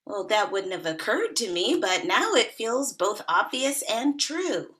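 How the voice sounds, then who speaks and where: An actress at a table read playing a blunt woman in her thirties, with a dry, deadpan delivery. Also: medium pitch, measured pace. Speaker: actor playing Megan. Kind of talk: table read of a comedy pilot.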